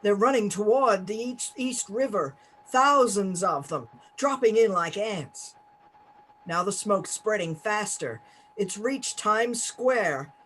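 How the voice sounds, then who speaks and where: Man acting grave high-pitched radio announcer, slow delivery. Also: expressive, a bit bright, full character voice, rough recording. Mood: dread. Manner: halting, clipped bulletin phrases. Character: doomed male radio announcer reporting an invasion, grave, resolute. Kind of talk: 1930s radio play news bulletin acting.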